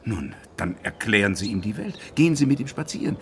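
An actor playing a self-assured, eccentric male doctor in a radio crime drama, giving clinical pronouncements with deliberate pauses. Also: fairly low pitch, big pitch swings, vocal fry, a bit bright, a little hiss or hum, heavily acted. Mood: confident, musing.

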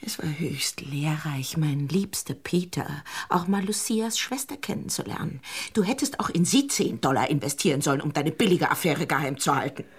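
Scornful, husky, theatrical meddler. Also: female voice, low voice, lively intonation, normal speed. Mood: sarcastic. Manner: theatrical, biting sarcasm. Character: scornful, melodramatic, meddling woman friend, sharp-tongued. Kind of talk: German radio drama acting.

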